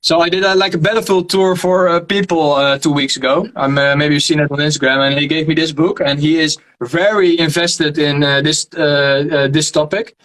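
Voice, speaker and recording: A young Dutch man speaking English as a second language, a guest in a casual interview, often pausing to search for words. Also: a little high, fairly even intonation, fairly bright tone, rough recording. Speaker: guest, history video creator. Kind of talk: casual video-call interview.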